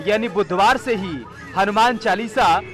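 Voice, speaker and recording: A male field reporter narrating a TV news package in a high, lifted voice. His delivery is measured and emphatic, and a faint music bed runs underneath.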